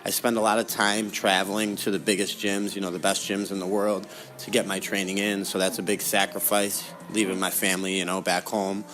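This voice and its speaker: A man's voice: low-pitched, level tone, fairly quick, hardly any pauses, over music, poor audio. A young adult man answering interview questions in a casual, conversational way as a guest. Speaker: interview guest, professional fighter.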